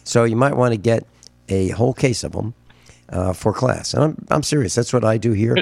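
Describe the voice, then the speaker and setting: A man's voice: medium pitch, normal speed, vocal fry, darkish tone, dry recording. A man hosting a talk radio show, chatty and chuckling, often repeating words.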